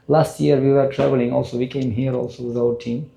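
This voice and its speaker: A man's voice: medium pitch, little pitch movement, normal speed, vocal fry, warm tone, poor audio. A man in his sixties from Russia, speaking English with a Russian accent, giving an earnest spiritual lecture to a temple audience. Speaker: spiritual teacher.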